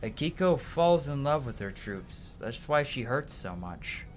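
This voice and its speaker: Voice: mid-range voice, big pitch swings, measured pace. Streamer reading dialogue aloud over music. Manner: dramatic reading with stumbles and self-corrections.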